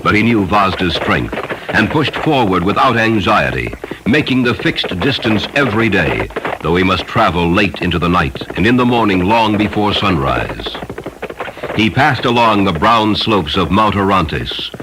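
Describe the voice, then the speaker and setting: A man narrating a literary story in a solemn, measured, dramatic way. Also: on the low side, big pitch swings, noisy background. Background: music behind the voice.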